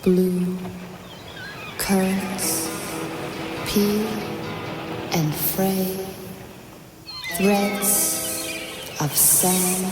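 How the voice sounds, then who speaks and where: A woman reading poems slowly, drawing out one word at a time. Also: mid-range voice, steady tone. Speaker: poetry reader. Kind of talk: slow poetry reading over a soundscape. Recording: voice over loud music bed.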